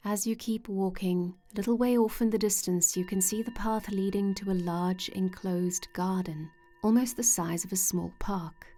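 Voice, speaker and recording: A woman leading a scripted guided meditation in a gentle, soothing way with measured pauses. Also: mid-range voice, steady tone. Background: soft meditation music with singing-bowl tones.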